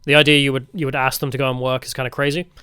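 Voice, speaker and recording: A man telling travel stories as a vlogger in a casual, wry, deadpan way. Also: mid-range voice, racing, clear, resonant tone, dry recording, clean studio sound. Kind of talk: casual storytelling narration.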